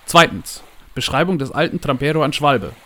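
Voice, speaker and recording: A man voicing a greedy, bombastic trading company boss in an audiobook, pompous and forceful in manner. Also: fairly high pitch, lively intonation, brisk, voice pushed up, natural voice. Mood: commanding. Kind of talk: dramatic audiobook storytelling.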